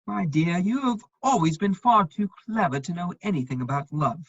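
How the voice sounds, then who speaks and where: Aloof society gentleman, female performer, husky and highly inflected, dry cutting wit, measured phrasing, for a period comedy reading. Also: animated, no echo, muffled recording. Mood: dry, sardonic. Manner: dry, measured, epigrammatic delivery. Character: witty, aloof upper-class gentleman, principled and cutting.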